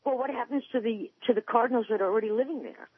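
A woman's voice: on the high side, very fast, husky, no room sound. A woman in her sixties calling in to a radio show, rambling and hesitant, with lots of ums. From New York State, USA. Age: 60s. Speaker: caller. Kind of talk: phone-in conversation. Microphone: telephone. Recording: tinny phone line.

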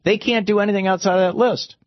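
An insistent, stubborn male caller, as a radio host puts him on, pushing his pitch far up into a strained, protesting squeal. He repeats himself and won't give ground. The sound is dry and a little dull. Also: steady tone, fast talker, dry recording, lightly acted. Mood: indignant.

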